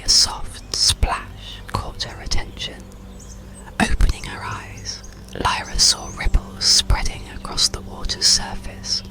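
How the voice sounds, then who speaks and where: The narrator speaks in a breathy whisper with a gravelly crackle underneath. The bedtime story comes out very slowly, in soothing, measured ASMR phrases meant for sleep. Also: extremely low pitch. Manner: soothing, unhurried, measured delivery. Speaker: audiobook narrator.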